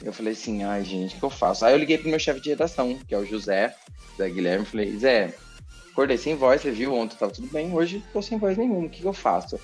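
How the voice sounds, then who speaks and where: A man with a Brazilian accent, a TV journalist chatting as a podcast guest, telling a story in an animated, expressive way and repeating words for emphasis. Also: mid-range voice, fast talker, faint music behind. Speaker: TV journalist and presenter, interview guest. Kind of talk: casual podcast interview storytelling.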